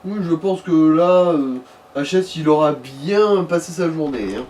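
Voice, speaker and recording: A man with a slightly high voice sits a little away from the mic. He chats casually as a gaming companion, dropping dry quips over faint noise. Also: a little slow, darkish tone, recorded from a distance. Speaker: let's play companion. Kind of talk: casual chat.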